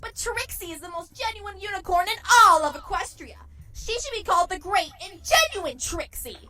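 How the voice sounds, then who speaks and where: A woman voicing a boastful, overfriendly young unicorn magician in an animated cartoon fan series, speaking theatrically and grandly. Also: very high voice, moderate pace, heavily acted. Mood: grandiose. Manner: theatrical, grandiose, refers to self in third person. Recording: background music under the dialogue.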